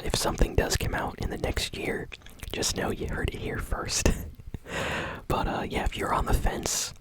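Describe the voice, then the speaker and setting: A woman hosting gaming news in an ASMR style, talking softly, informally and without hurry. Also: very high voice, rough recording. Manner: soft, unhurried, informal. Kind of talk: whispered ASMR news commentary.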